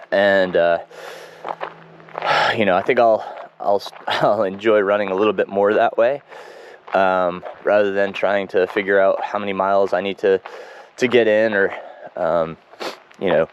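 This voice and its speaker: A man speaking in a casual running video diary, congested and froggy, reflective and halting with many ums and restarts. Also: fairly low pitch, a little hiss or hum. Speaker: running vlogger. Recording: dull lo-fi home recording.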